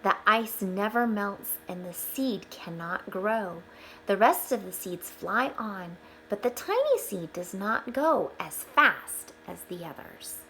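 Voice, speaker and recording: A woman reading a picture book aloud to children, expressively, pausing for explanatory asides. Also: medium pitch, poor audio. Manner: expressive, with hushed asides pointing things out.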